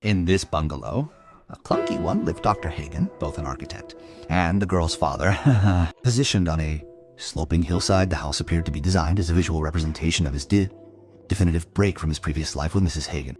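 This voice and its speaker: A man playing a world-weary police detective, narrating a crime audio drama in a measured, musing way. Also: deep voice, over music, natural voice. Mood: reflective. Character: world-weary male police detective telling his case.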